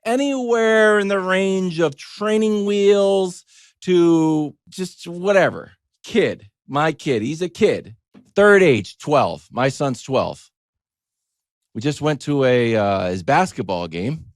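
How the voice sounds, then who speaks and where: A man's voice: on the high side, expressive, slow pace, no room sound, clean studio sound. A middle-aged American man telling a story as a show host in a casual, wry comic monologue, acting out little quoted asides.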